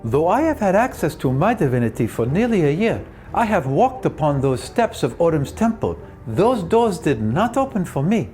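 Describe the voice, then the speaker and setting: Man voicing a high, solemn temple dweller. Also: very animated, moderate pace, hardly any pauses, dark tone, soft background music. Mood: solemn, awed. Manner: formal, declamatory. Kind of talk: tabletop game roleplay.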